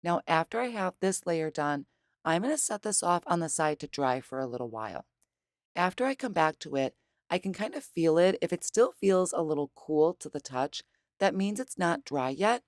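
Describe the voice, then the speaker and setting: Low, hoarse-voiced woman for a craft tutorial voice-over; dry close sound, patient and plain. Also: expressive, moderate pace, no room sound. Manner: explains steps patiently and plainly. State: hoarse, losing her voice. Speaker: craft demonstrator.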